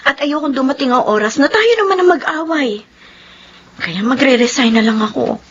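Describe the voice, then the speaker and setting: An actress playing a conscientious, reproachful young Filipino cashier who is fed up, in a radio drama. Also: female voice, sits high, animated, normal speed, slight background noise. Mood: ashamed, reproachful. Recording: dull lo-fi radio drama audio.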